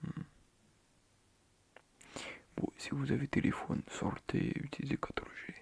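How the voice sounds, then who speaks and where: Man playing a whispering, gravelly schoolteacher. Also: mid-range voice, level tone, measured pace, exaggerated voice. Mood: calm, helpful. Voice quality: hushed, half-whispered, breathy. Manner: hesitant, muttering, repeats himself. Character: soft-spoken male schoolteacher, quirky, mock-strict with pupils. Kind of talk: ASMR roleplay. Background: computer keyboard typing.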